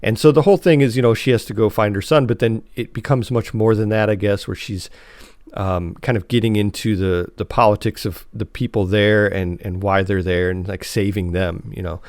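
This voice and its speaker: A man around his forties with an American accent, co-hosting a podcast in an informal, halting way as he thinks aloud. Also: lowish voice, fairly even intonation, a little mellow, no echo, clean studio sound. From United States. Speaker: podcast co-host. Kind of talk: casual conversational review chat.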